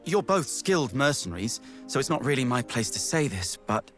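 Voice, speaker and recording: A man's voice: medium pitch, very animated, normal speed, fairly bright tone. A man playing an earnest, courteous young knight in a fantasy game, polite and deferential. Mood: earnest, apologetic. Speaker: video game character. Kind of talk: English game cutscene voice acting. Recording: music behind the voice.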